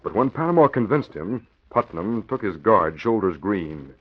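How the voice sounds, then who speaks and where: A male announcer tells a story in 1940s radio drama style. His voice is deep and his delivery dramatic and scripted. The recording is thin, like a phone line. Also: very animated, normal speed, slightly rough. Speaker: announcer-narrator. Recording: tinny, narrow old radio recording.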